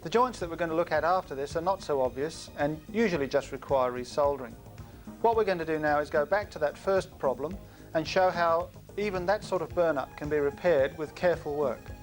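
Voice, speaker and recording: A man's voice: on the high side, a little fast. A man presenting an instructional training film, explaining things directly to trainees in a scripted style. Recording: music behind voice, VHS tape transfer.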